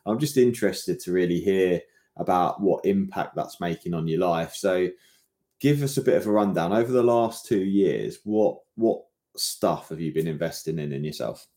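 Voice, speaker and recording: A British man hosts a laid-back podcast chat, his voice deep and mellow. He is friendly and encouraging, dropping "mate" into nearly every sentence. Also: normal speed. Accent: British English. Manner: matey, encouraging, says "mate" often. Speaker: podcast host. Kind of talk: casual podcast interview.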